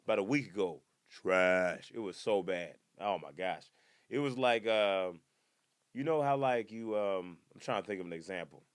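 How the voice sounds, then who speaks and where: A low-voiced man in his twenties talks through a casual comedy podcast monologue. He stays relaxed, then jumps into loud, high-pitched bursts when he acts out other people. The sound is dry and close, with no room echo. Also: normal speed, clean tone. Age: 20s.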